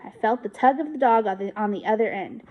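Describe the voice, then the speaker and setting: A woman teacher reading a children's book aloud in an expressive storytelling style. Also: mid-range voice, fast talker, dull audio.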